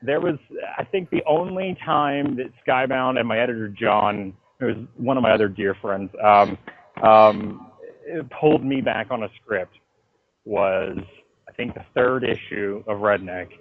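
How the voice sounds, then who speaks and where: A man's voice: lowish voice, a little slow, narrow, tinny audio. Profane, slangy man telling stories. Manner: animated, profane, slangy storytelling. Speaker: comic book writer being interviewed. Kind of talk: casual interview chat about own work. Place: phone call interview. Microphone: phone call.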